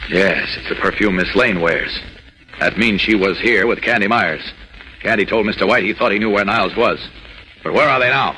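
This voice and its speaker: An actor playing a stern, righteous superhero in 1940s radio serial style, with a firm, deliberate, demanding delivery. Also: male voice, lowish voice, poor audio. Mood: brisk, focused. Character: stern, righteous male superhero, commanding and confident. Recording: bright vintage radio recording, faint noise.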